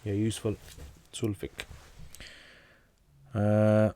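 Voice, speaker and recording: A man's voice: lowish voice, completely flat delivery, slow, mellow, no room sound. A man from North Pakistan, a native Pashto speaker, hosting a casual livestream Q&A. He reads out viewer questions and answers them plainly, often restarting his sentences.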